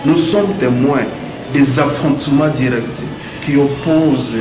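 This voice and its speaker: A man with a Congolese French accent argues his position in a formal debate. He is emphatic and rhetorical, building points through repetition. The room is echoey, with clear noise behind him. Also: mid-range voice, slow. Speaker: debate speaker. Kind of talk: argumentative debate speech.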